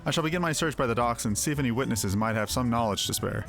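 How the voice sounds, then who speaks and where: A man's voice: medium pitch, fast talker, fairly bright tone, faint music behind. Man playing a suave fantasy adventurer. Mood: polite, purposeful. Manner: polite, composed, smooth-talking. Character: suave, capable male adventurer on a search. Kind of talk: hobby voicing of fantasy game dialogue.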